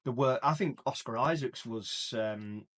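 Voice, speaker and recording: A man co-hosting a casual film podcast, talking in quick bursts with restarts and laughs. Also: mid-range voice, a bit bright. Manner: chatty, frequent restarts and laughs. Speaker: podcast co-host. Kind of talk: casual chat.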